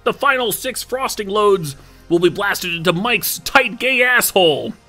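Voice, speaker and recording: A showy game-show announcer, put on by a man, crowing in a raised, forceful voice. Calls are drawn out and swooping, built to fill a hall in a comic erotic story reading. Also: high voice, animated, measured pace, faint music behind, pitched above the performer's own voice, full character voice. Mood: showy drawl.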